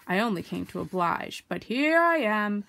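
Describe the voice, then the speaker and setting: A woman voicing a gloomy, grumbling, put-upon old male donkey from a storybook, complaining in a slow, resigned, plodding way. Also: mid-range voice, big pitch swings, vocal fry, a bit bright. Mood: glum, resigned.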